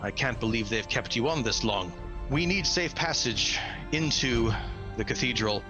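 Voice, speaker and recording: A man's voice: mid-range voice, lively intonation, moderate pace, over music, close to an everyday voice. A man playing a stern bird-folk warrior hero in a tabletop fantasy game, speaking in a grave, imperious way.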